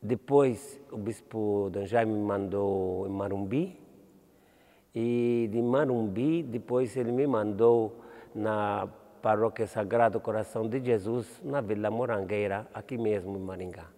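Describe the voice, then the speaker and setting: Older Maltese priest, low dark voice. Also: male voice, big-room sound. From Malta. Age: 70s. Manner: halting, with restarts and "entende?". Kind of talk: interview answers.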